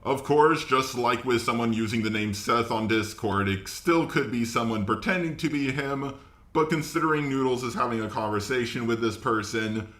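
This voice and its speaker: Guy doing casual reaction commentary, bright forceful voice, roomy echo. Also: on the low side. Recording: roomy, echoey voice recording.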